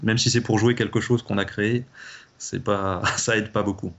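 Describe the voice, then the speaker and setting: Low-voiced man, muffled lo-fi sound. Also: steady tone, normal speed. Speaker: interview guest, audio drama creator. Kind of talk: casual interview answers.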